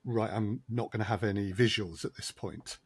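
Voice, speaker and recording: A man's voice: on the low side, fairly even intonation, moderate pace, slightly rough, no echo. A man talking as a sound designer and podcast guest, thoughtful and wry, with frequent hesitations, in a casual discussion.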